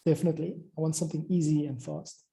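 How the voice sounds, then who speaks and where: A man's voice: on the high side, level tone, fast talker, dark tone. A man in an informal work conversation, talking as a music creator.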